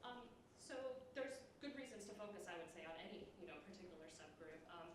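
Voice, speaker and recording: Woman researcher doing a lecture, talks quick w lots of ums, super echoey and far from the mic w noticeable background noise. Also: on the low side, animated. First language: bilingual, Puerto Rican background. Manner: explanatory, frequent ums and "you know". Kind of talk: academic lecture with slides, semi-conversational. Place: indoor lecture room. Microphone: distant room microphone. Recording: roomy echo, distant mic, clear background noise.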